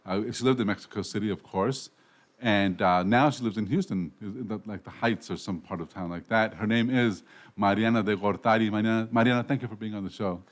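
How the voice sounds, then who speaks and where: A man's voice: fairly low pitch, fairly quick. Rambling man hosting radio. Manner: rambling, with frequent restarts and self-corrections. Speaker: radio show host. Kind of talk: casual radio interview. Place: radio studio.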